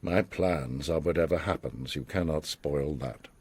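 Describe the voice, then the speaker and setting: An actor playing an older, worldly and enigmatic mastermind in a radio drama, speaking in a theatrical, measured, formal and philosophical way with a husky voice. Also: male voice, extremely low pitch, darkish tone, heavily acted. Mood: lofty, assured. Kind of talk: radio drama acting, storytelling monologue.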